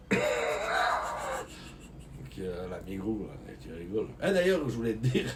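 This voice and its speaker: A man's voice: sits low, big pitch swings, unhurried, heavy background noise. A man, joking and rambling with lots of fillers as co-host in a casual live-stream chat.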